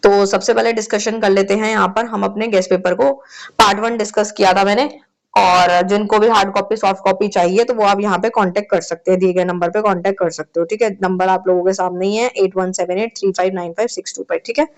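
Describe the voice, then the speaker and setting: A woman's voice: mid-range voice, steady tone, a little fast. Lady teacher, bit of a sore throat, chatty, keeps checking in with students. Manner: chatty, repeats "theek hai" often. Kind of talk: exam-prep lecture.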